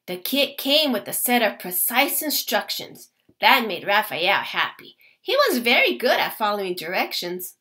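A woman teacher reading a children's picture book aloud to her class. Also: mid-range voice. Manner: slow, expressive storytelling.

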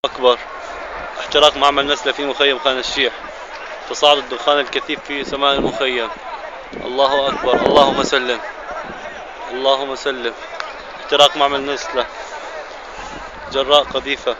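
A man's voice: medium pitch, little pitch movement, fairly quick, long pauses, muffled recording. A man giving eyewitness narration outdoors in short, repeated, solemn declarations. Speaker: amateur cameraman, eyewitness. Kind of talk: eyewitness narration of filmed footage. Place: outdoors in an open field near a large fire. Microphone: handheld phone camera. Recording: noisy outdoor phone recording.

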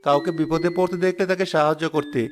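Guy playing a nice patient village fisher kid in a cartoon, highish voice, gentle n reassuring, music behind. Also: steady tone, fast talker, natural voice. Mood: kind, reassuring. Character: kind, patient, helpful young village fisher boy. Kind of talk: animated cartoon dialogue.

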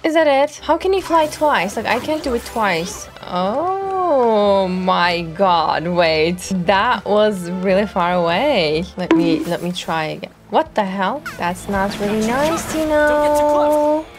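A young woman giving casual, playful and excitable commentary as she streams a video game. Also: medium pitch, slow pace, few pauses. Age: young adult. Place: small indoor home room. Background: game music.

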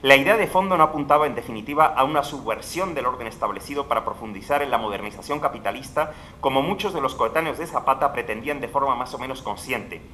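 A middle-aged man reading a formal academic lecture at a panel table mic in a large hall, measured and emphatic, dropping his voice at phrase ends. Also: mid-range voice, sharp tone, a little hiss or hum. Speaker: conference speaker. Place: large legislative hall, indoors. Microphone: table microphone at a panel.

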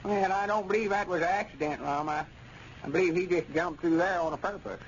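An actor playing a chatty, neighborly old grandpappy with a rural Southern accent, in old radio comedy style. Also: male voice, high-pitched, fairly quick. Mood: gossipy. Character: high-voiced, chatty old country grandpappy, neighborly and nosy. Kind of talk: 1940s radio comedy acting. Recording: dull, noisy old radio recording.

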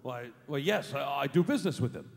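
A man playing a fearful old miser in a staged radio drama, anxious, halting and imploring. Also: medium pitch, animated, gravelly. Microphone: stage mic on stand. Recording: reverberant theatre hall.

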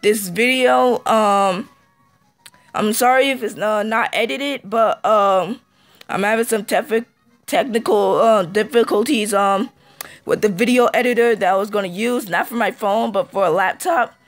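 Hoarse, halting young guy gaming. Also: high voice, no room sound, soft background music. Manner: halting, full of ums and throat-clearing. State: hoarse, losing his voice. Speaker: let's play gamer. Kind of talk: casual gameplay commentary.

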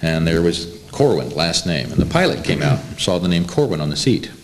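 Deep-voiced middle-aged American man. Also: big pitch swings, brisk. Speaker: panel interviewer. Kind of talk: informal anecdotal storytelling. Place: indoor room, seated at a panel table. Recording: faintly noisy amateur camcorder recording.

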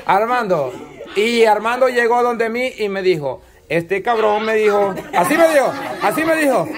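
A Salvadoran man hosts a group talk, needling people and cutting in over them. His voice sits fairly high. A noisy crowd chatters all around him in an open hall. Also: lively intonation, moderate pace. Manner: teasing, talks over others. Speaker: host questioning a group. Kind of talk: teasing group chat. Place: outdoor covered hall with a crowd.

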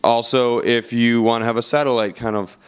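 A man's voice: mid-range voice, little pitch movement, brisk, smooth. A man narrating a product review in an informal, conversational style, as a reviewer talking through features. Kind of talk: casual video review narration.

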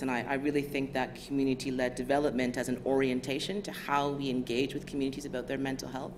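An American woman with a smooth, low voice, giving an academic lecture in a measured, thoughtful way. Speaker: guest lecturer. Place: lecture theatre stage. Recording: lecture hall audio with background noise.

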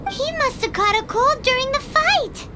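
A woman voicing a tiny childlike fairy companion in a game, squeaky, chirpy and outspoken. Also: animated, moderate pace, heavy background noise. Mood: concerned, fond. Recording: game music behind.

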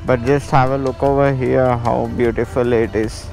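This is a man with an Indian accent and a mid-range voice, narrating a casual motovlog. He talks in a halting way, circling back and repeating phrases. Music plays clearly behind him throughout. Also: medium pitch, level tone, darkish tone. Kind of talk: casual travel vlog narration. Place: outdoors on a motorbike road trip.

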